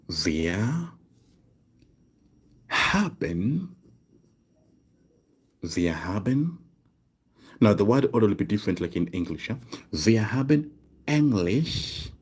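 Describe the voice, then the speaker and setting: Male language tutor, low-pitched and husky, patient and repetitive. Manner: slow, repeats phrases word by word, asks "right?" often. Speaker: German tutor. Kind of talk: online language lesson, writing on cards. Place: desk indoors.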